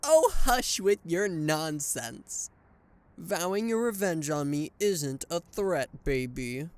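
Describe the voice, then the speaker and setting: A man's voice: on the high side, lively intonation, slow, darkish tone. A man voicing a smug male anti-hero villain who gloats and teases a captured hero in an ASMR roleplay, with a mocking, flirtatious drawl. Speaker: voice actor.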